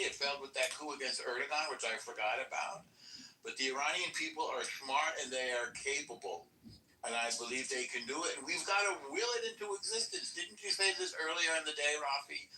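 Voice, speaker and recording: A man hosting a casual political podcast chat. He talks in an animated, emphatic way. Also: a little high, a little hiss or hum. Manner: animated, emphatic, laughs often. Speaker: podcast host. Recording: echoey room, far from mic.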